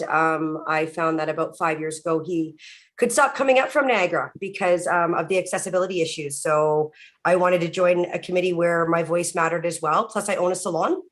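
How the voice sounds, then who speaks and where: Woman, low voice, loud, talkative, video call. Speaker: committee member. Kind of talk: casual meeting chat.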